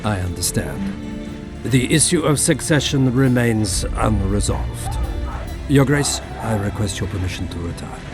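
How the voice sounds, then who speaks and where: An actor playing a formal, deferential diplomat at a fantasy court in a video game, speaking with measured courtesy. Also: male voice, fairly low pitch, lively intonation, music in the background. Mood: cool, polite. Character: courtly, tactful diplomat addressing a fantasy king. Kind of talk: video game cutscene acting.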